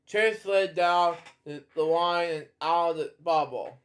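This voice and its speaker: A man reading a children's storybook aloud, stumbling, restarting and adding rambling side comments. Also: a little high. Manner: halting, with frequent restarts and filler comments.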